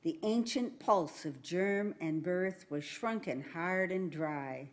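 A woman reading a poem aloud to an audience in a meeting room, measured and deliberate. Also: lowish voice. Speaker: poetry reader.

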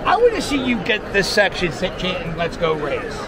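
A high-pitched, loud man in his thirties vlogs from the stands, teasing the fans around him. He holds the camera at arm's length, and a roaring crowd fills every gap behind his voice. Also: huge pitch swings, moderate pace. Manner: loud, joking, heckling. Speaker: vlogger and sports fan. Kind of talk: casual handheld vlog. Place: indoor baseball stadium, crowded stands.

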